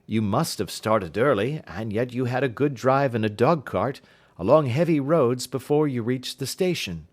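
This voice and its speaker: Male reader as confident gentleman detective: courteous, precise, reassuring, formal Victorian diction, storybook delivery. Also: medium pitch, expressive, moderate pace, natural voice. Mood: confident, explaining. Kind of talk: audiobook character voice.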